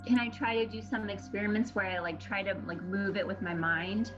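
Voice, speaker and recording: A woman's voice: medium pitch, fairly even intonation, a little fast. A woman playing a tabletop game, chatting casually in a tentative, questioning way. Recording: dull lo-fi audio with music behind. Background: music.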